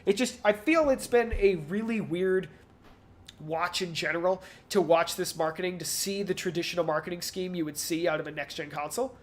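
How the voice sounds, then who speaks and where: A man's voice: high-pitched, fairly quick. Rambling man talking games casually. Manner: rambling analysis, acts out companies' positions in mock quotes. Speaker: podcast co-host. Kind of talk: casual video game industry discussion.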